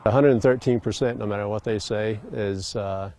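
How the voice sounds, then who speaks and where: A middle-aged man giving an unscripted interview answer in a plain-spoken, halting way. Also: fairly low pitch, steady tone, background noise. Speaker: interviewed resident, retired police officer.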